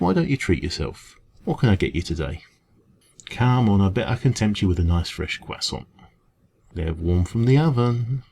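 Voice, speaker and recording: A man with an English accent playing a kind café dragon in an ASMR roleplay, talking gently and reassuringly in a creaky voice. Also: on the low side, slight background noise. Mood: friendly, welcoming. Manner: gentle, reassuring, hesitant conversational encouragement. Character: kind, caring male dragon who runs a café. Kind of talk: ASMR roleplay, comforting one-to-one talk.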